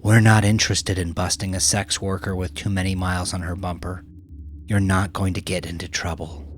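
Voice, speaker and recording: A man's voice: low voice, flat delivery, moderate pace, slight background noise, lightly acted. A man voicing a tough, blunt female police detective in a crime audiobook, terse and confrontational. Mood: firm. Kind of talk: audiobook character voice.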